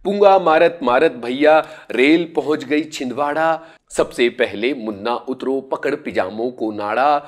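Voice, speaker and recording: A man in his forties, a radio host, recounting a memory and then reciting a rural poem in an expressive, sing-song way, recorded indoors on a lapel mic. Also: medium pitch, a little slow. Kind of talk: storytelling poetry recitation. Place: indoor studio room.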